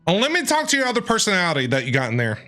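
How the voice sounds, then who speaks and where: Animated, bright-voiced woman gaming streamer. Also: lowish voice, racing. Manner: sassy, playful, reactive. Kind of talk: casual game commentary, talking back to characters. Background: game background music.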